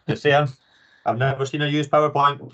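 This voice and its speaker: A man with a mid-pitched voice hosts an online meetup in a casual, chatty way. The call audio is a little dull and lo-fi. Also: steady tone, measured pace, a bit bright. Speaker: meetup host. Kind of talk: casual hosting chat. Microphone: video call.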